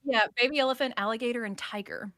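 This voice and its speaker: A woman's voice: a little high, fast, bright, forceful tone, no echo. A woman hosting a casual live discussion in a playful, enthusiastic, geeky manner. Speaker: host.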